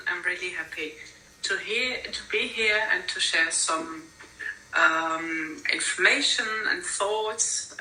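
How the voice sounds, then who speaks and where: A woman's voice: lowish voice, pushed and bright, slight background noise. A woman who is not a native English speaker, giving an academic conference presentation over a remote call, hesitant with many ums and uhs. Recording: roomy, distant mic, faint ambience.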